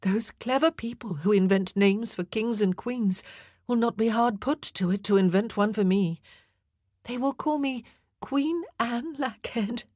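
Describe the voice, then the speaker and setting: Woman doing a frightened doomed queen, high breathy whispers, husky voice, dry close sound. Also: moderate pace, a little mellow, no room sound. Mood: wistful. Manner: trembling, breathy, often whispered pleading. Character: doomed young queen, frightened, faithful, fragile.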